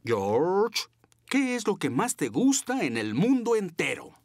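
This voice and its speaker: A man voicing a jolly, warm-hearted father pig in a children's cartoon, playful and reassuring. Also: sits high, wildly swooping pitch, takes it slowly, exaggerated voice.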